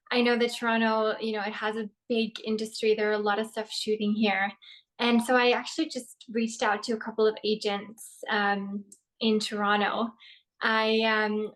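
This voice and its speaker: Measured actress, flat tone. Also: female voice, a little high, rough recording. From Norway. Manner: thoughtful and measured, with frequent ums. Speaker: actress, interview guest. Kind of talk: casual interview answers.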